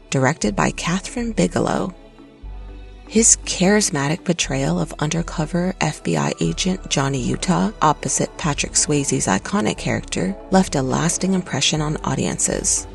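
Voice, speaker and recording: A narrator reading a scripted documentary in a steady, even way. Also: medium pitch, moderate pace, creaky. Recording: light music underneath.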